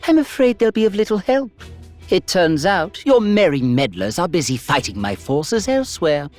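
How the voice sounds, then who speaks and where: Sadistic alien tyrant, theatrical, politely cruel, very animated intonation, music behind, game villain. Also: male voice, high-pitched, normal speed. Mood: smug, cold. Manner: theatrical, mocking, ornate wording. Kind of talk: video game villain acting.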